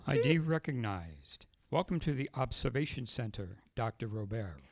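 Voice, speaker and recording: A man's voice: mid-range voice, expressive, normal speed, vocal fry, no room sound, studio quality. A man voicing an impersonal automated station computer in an audio drama, making formal announcements. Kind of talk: scripted audio drama acting.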